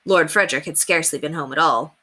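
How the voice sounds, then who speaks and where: A woman reading a children's story aloud as a teacher would, with deliberate emphasis on key words. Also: sits low, bright, forceful tone. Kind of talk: expressive storybook read-aloud narration.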